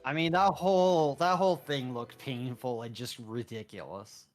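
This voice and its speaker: A man with a mid-range voice chats casually as a co-commentator on a game stream. His talk is loose and joking. Soft music plays faintly behind him. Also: mid-range voice, normal speed. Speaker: livestream co-commentator.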